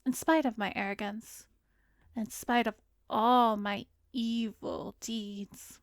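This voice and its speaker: Casting: actress for arrogant vampiress therapist in ASMR roleplay. Slow, drawn-out, teasing and hypnotic, breathy whispers, intimate dry sound. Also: female voice, a little high, steady tone, no echo. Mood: smug, teasing. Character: arrogant, seductive female vampire posing as a therapist.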